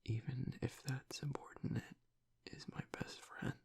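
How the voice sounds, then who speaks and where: A man playing a tough young werewolf pack alpha broken by grief in an intimate audio roleplay, halting and choked up, with a breathy, raspy voice. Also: mid-range voice, fairly even intonation, a little mellow, exaggerated voice. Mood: burdened, strained. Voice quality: breathy, raspy whisper with gravelly breaks. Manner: halting, fragmented, swearing under strain. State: choked up, grieving.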